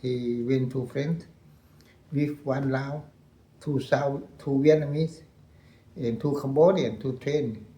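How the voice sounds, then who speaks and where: An older man with a Lao accent, a retired colonel recounting his war years in halting English, repeating phrases and laughing often. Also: medium pitch, steady tone, mellow, echoey room. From Laos. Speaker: interview guest, veteran colonel.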